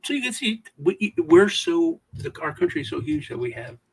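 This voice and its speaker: A man co-hosting a casual chat show, telling stories in a Southern American accent and jumping into high, loud voices when he mimics people. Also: wildly swooping pitch, normal speed, gravelly, slightly rough, low-quality recording. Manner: storytelling, mimics voices with high-pitched bursts. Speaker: podcast co-host.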